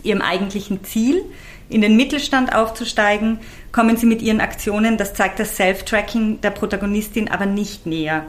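A woman's voice: medium pitch, a little fast. Engaged woman podcast co-host. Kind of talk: casual chat and read summary.

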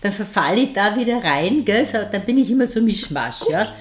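A woman's voice: medium pitch, lively intonation, a little fast. A middle-aged woman from Styria with an Austrian accent, an actress chatting as a guest in an interview and telling stories with many tag questions. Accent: Styrian Austrian German. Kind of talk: casual interview chat.

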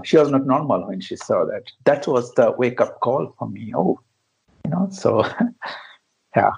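Slow gravelly man from Bangladesh. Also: mid-range voice, darkish tone, rough recording. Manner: hesitant, many fillers and restarts, non-native phrasing. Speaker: interview guest, founder of an education project. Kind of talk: conversational interview answers.

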